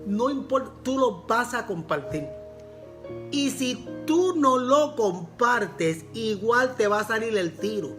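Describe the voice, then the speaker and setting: A man's voice: very high voice, expressive, slow, fairly bright tone, faint music behind. A man with a Puerto Rican accent, preaching a sermon as pastor and repeating phrases for emphasis. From Puerto Rico.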